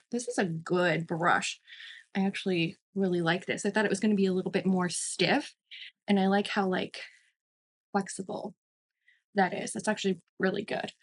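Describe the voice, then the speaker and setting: A woman in her mid-thirties giving a casual makeup review as a beauty vlogger, chatty and emphatic, often repeating words for emphasis. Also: mid-range voice.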